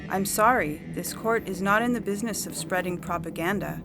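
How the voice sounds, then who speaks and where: Lady acting as a cold official judge in a sci-fi audio story, formal n lecturing, music clearly playing under her. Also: medium pitch, fairly quick, close to an everyday voice. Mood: dismissive, official. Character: coldly official female judge, zealous about majority rule. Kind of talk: dramatized science fiction story reading. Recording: loud music bed under the voice.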